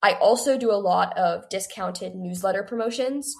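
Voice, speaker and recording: Teenage woman, creaky voice, low-pitched register for a woman, earnest, explanatory, conversational interview guest. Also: normal speed, darkish tone. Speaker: guest, young adult author.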